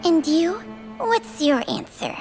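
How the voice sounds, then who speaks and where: A high, languid ancient spirit. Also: female voice, sing-song, slow pace, exaggerated voice. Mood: weary resignation. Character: ancient female contract spirit, world-weary and languid. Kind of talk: scripted video game voice acting. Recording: loud music under the voice.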